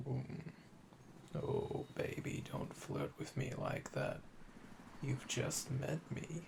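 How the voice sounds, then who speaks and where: A man acting a calm, flirtatious yandere assassin in a roleplay audio, with a gravelly voice that drops to breathy murmurs and slow, teasing, menacing delivery. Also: medium pitch, noisy background. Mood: teasing, seductive. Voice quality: gravelly, fry-laden, often dropping to breathy murmur. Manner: slow, teasing, seductive, drawling pet names.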